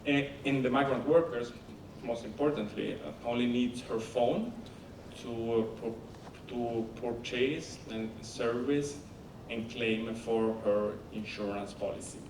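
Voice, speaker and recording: Italian-accented man in an echoey hall. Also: steady tone. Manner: halting, many fillers and restarts. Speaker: panelist, company founder. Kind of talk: conference panel answer. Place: conference hall panel. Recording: echoey hall, distant mic, rumble.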